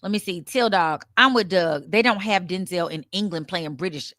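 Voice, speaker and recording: A woman's voice: on the low side, normal speed, dry recording, studio quality. Woman co-host reading viewer comments. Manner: lively, plays out quoted voices. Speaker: sports talk show co-host reading comments. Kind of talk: casual live show chat.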